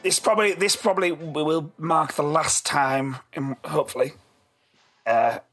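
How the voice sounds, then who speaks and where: A man hosting a casual podcast chat in a rambling, halting way, his voice a little lost. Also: a little high, fairly even intonation, fairly bright tone, soft background music. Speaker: podcast host.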